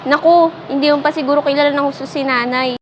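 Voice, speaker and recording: A woman's voice: high voice, steady tone, quick pace, natural voice. A woman playing a worried, conciliatory young Filipino wife in a radio drama, speaking in a tentative, pleading way. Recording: dull lo-fi sound, clear background noise.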